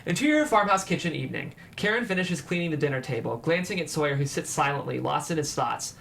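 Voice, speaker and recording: A man's voice: a little high, animated, fast, a bit bright. A man reading scripted stage directions as the narrator of a table read. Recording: clear background noise.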